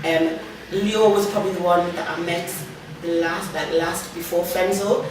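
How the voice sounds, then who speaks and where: A woman's voice: lowish voice, fairly even intonation, normal speed, background noise. A woman youth worker, reflecting in a loose interview with many fillers. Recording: echoey room, mic at a distance.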